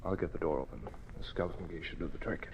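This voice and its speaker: An actor playing a daring, confident masked vigilante in an old-time radio drama, brisk and commanding, on a muffled and noisy recording. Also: male voice, sits low, creaky. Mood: low, conspiratorial.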